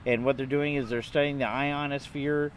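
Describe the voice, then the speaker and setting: Halting man vlogging outdoors over background noise. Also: mid-range voice, level tone. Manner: halting, hesitant, pausing mid-sentence. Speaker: amateur radio vlogger. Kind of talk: unscripted explanatory vlog. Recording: clear outdoor ambient noise.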